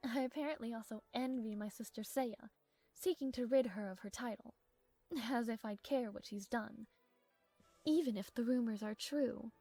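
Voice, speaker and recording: A woman's voice: fairly high pitch, a little mellow, slightly rough, no room sound, heavily acted. A woman playing a strong-willed young princess with formal, regal diction in an audio roleplay, proud but easily flustered. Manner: formal regal diction, haughty, hesitant stammering when flustered.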